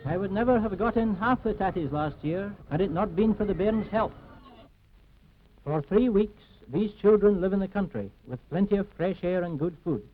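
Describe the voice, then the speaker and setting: High-voiced Scottish potato farmer. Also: male voice, normal speed. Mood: grateful, earnest. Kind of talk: 1940s public information film testimonial. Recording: thin, phone-like 1940s film sound.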